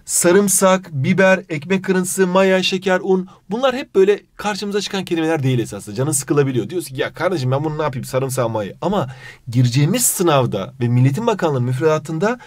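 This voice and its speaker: A man's voice: fairly high pitch, lively intonation, a little fast, no echo, studio quality. A man with a Turkish accent teaching an English lesson in a jokey way, playfully scolding as he goes. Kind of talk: scripted lesson with playful banter.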